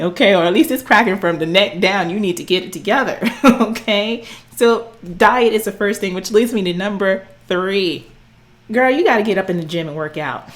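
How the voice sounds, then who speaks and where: A fitness coach in her forties speaks straight to the camera, sassy and preachy, calling her listeners honey and girl. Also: mid-range voice. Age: 40s. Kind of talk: direct-to-camera coaching talk.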